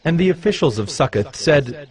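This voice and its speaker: A man narrating dramatized scripture in a solemn, measured storytelling manner. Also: a little high, animated. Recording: clear music behind the voice.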